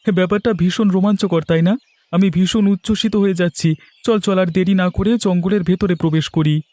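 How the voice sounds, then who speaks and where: A man playing an excitable young village camper in an audio drama, speaking in an emphatic, dramatic way. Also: high-pitched, brisk, no room sound, natural voice. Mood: excited. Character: excitable young village man, Ratan, camping with his friend. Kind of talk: Bengali audio drama acting.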